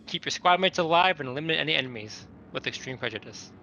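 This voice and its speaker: A man's voice: fairly high pitch, animated, fast, vocal fry, pushed and bright. A man playing a tabletop game, talking casually and reading out character notes. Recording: dull lo-fi mic, faint noise.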